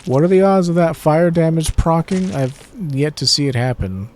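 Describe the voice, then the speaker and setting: A man's voice: a little high, normal speed, a little mellow, a little hiss or hum. A young adult man with a tired voice, giving laid-back, slangy gameplay commentary and swearing at mishaps. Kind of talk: casual let's-play commentary.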